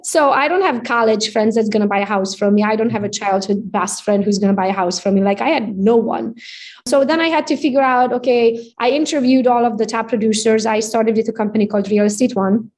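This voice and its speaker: Upbeat Hungarian-accented woman, higher voice. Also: fairly quick. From Romania. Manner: upbeat, animated storytelling with tag questions. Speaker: real estate agent guest. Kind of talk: casual interview chat.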